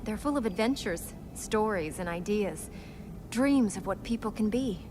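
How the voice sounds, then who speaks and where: An actress playing an earnest, thoughtful young princess in a video game, speaking seriously and with measured care. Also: female voice, medium pitch, animated, over music, close to an everyday voice. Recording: clear background noise.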